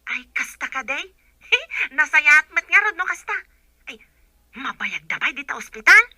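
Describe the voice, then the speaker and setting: A woman's voice: sits high, big pitch swings, measured pace, bright, forceful tone, no echo, clean studio sound, full character voice. An actress playing a helpful, polite young village woman in a radio drama. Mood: concerned, relieved.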